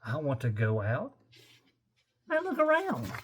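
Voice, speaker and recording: A man's voice: mid-range voice, huge pitch swings, unhurried, breathy edge, heavily acted. A man voicing a curious, polite young seal in an earnest, exclaiming way for a children's storybook. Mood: wistful.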